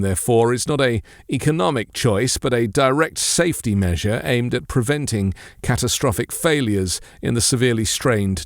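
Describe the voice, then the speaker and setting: A man reading scripted news as a presenter, with a formal, measured delivery. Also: mid-range voice, dry recording, clean studio sound.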